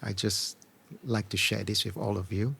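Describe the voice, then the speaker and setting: A middle-aged man answering interview questions as an experienced business leader, in measured, earnest, short deliberate phrases. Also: fairly low pitch, flat delivery, creaky, bright, forceful tone, slightly rough. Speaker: entrepreneur and former corporate leader, interview guest. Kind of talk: reflective conversational interview answers.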